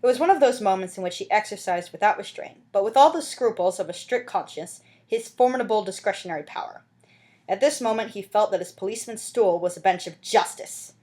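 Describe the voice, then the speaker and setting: A woman's voice: medium pitch, animated, fairly quick, darkish tone. A woman reading a classic novel aloud as an amateur audiobook narrator, with dramatic scripted delivery.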